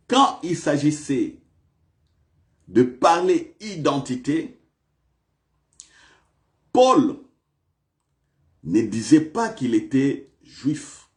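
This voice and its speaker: A male pastor preaching a sermon in a church, repeating questions to the congregation with big swings of emphasis. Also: fairly high pitch.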